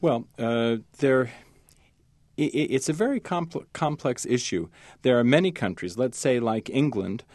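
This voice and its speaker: A man who is a journalist appears as a guest in a radio interview. He speaks haltingly, with many fillers and restarts. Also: medium pitch, lively intonation, a little mellow, no room sound.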